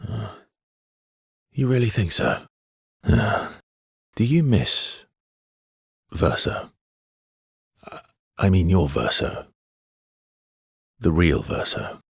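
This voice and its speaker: A guarded, brooding expedition survivor in a video game. His voice is very husky and low, with a dry wit and an understated, hesitant way of speaking. It is recorded close and dry. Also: big pitch swings, takes it slowly, pauses often, no echo, exaggerated voice. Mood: awkward, hesitant. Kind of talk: video game character dialogue.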